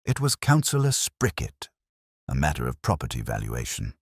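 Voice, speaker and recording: A man's voice: a bass voice, wildly swooping pitch, moderate pace, slightly rough, dry recording, studio quality, pitched below the performer's own voice, heavily acted. A man voicing a nervous, eager accountant who speaks hesitantly. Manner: hesitant, fretful. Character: nervous, eager middle-aged male accountant.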